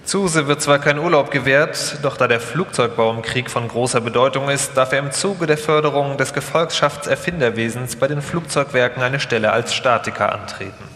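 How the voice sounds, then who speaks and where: A man's voice: medium pitch, moderate pace, hardly any pauses, a bit bright. A man narrating a scripted documentary feature live. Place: live stage in a reverberant hall. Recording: echoey hall with long reverb.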